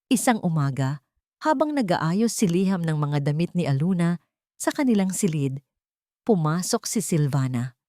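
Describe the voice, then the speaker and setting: A woman narrating a scripted drama story in an expressive, suspenseful way. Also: on the low side, normal speed, no echo, studio quality.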